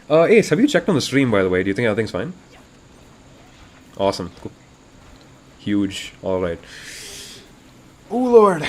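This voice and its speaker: A man from India doing live, excitable fighting game commentary, his voice getting raspy, swinging between hype and quiet asides. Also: medium pitch. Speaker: esports commentator.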